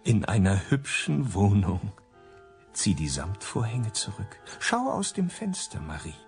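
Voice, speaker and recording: Mysterious dream guide for radio drama: calm, hypnotic, lowish voice, faint music underneath. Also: male voice, unhurried, heavily acted. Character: calm, mysterious man guiding through a dreamscape. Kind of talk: radio play acting.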